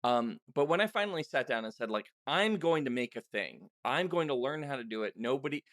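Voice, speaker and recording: A man's voice: medium pitch, animated, a little fast, fairly bright tone, no room sound, studio quality. A man hosting a casual interview, talking candidly and self-deprecatingly with rambling asides. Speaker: podcast host and audio drama writer.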